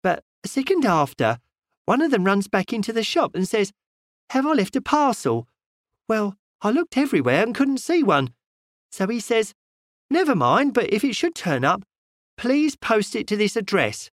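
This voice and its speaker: A talkative middle-aged shopwoman, fussy and gossipy, her voice climbing high and swinging wide, as a man puts her on. The reading sounds close and dry, with no room around it. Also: big pitch swings, moderate pace, no room sound. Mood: chatty.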